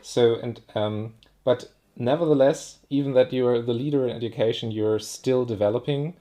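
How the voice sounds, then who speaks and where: A man moderates an online seminar. He speaks politely and hunts for words with plenty of fillers, in a mid-pitched voice. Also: normal speed, mellow. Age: 30s. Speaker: host, moderator.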